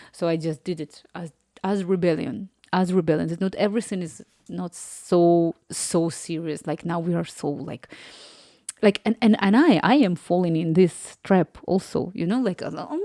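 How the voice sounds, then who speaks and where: A woman's voice: fairly low pitch, dark tone, no room sound. Rambling thirties woman, dry close mic. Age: 30s. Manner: rambling, laughs often, restarts words. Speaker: live stream host. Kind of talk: casual live-stream chat.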